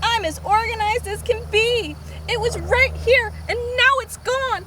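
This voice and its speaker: A woman's voice: very high voice, a little slow, distant mic, very noisy, voice pushed up. A woman voicing a fussy, boastful neat-freak boy from a storybook, emphatic and self-righteous. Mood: panicked, indignant.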